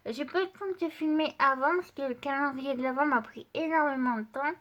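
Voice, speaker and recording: A woman's voice: sits high, a little fast, lo-fi sound. A woman with a stuffy voice from a cold, chatting casually to her viewers as she shows craft supplies and tests paper, with many fillers. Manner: chatty, addresses viewer directly, frequent fillers. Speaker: art channel host.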